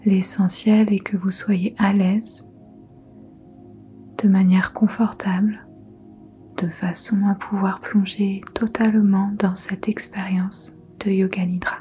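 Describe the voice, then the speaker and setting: A woman leading a guided yoga nidra meditation in a soft, breathy near-whisper, soothing and gentle. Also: medium pitch, level tone, soft background music. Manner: soothing, gentle, unhurried instruction.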